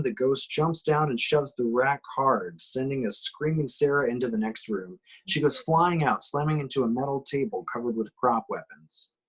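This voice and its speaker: Husky man narrating a screenplay. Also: medium pitch, rough recording. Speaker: action-description reader. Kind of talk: scripted narration of a screenplay, amateur table read.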